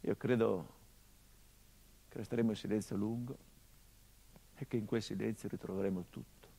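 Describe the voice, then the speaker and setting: A male actor delivering a theatrical monologue as a son remembering his father, measured and intimate, swelling into urgency. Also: lowish voice, fairly even intonation, vocal fry.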